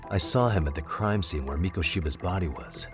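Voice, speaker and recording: A man playing a serious, steady, plain-spoken detective in an English game dub. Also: sits low, a little fast, natural voice. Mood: grave, thoughtful. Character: serious, steady male detective working a case. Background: background music.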